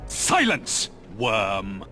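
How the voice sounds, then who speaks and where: A man's voice: on the low side, wildly swooping pitch, very slow, pushed and bright, heavily acted. An actor playing an arrogant, lecherous young nobleman, sneering and leering as a fantasy game villain. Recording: music under the voice.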